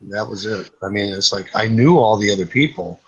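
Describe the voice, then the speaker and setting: A man's voice: lowish voice, fairly quick, husky, lo-fi sound. A man in his fifties chatting casually on a group call, blunt, swearing freely and telling stories. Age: 50s. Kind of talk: casual late-night group chat. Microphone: online group video call.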